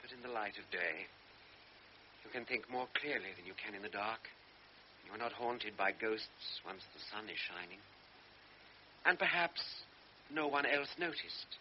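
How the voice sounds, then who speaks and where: Actor as remorseful young lieutenant, inner monologue, old radio drama. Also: male voice, on the low side, animated, normal speed, long pauses, natural voice. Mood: brooding. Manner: inward, brooding first-person monologue. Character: guilt-ridden young lieutenant recalling his shame. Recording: dull old radio broadcast, faint hiss.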